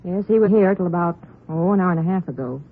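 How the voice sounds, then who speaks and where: An anxious, courteous lady in a vintage radio drama. Her mid-pitched voice has a husky edge. The sound is thin and narrow, as from an old broadcast. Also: fast. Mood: worried, hesitant. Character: polite, anxious woman answering police questions. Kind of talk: 1950s radio drama acting.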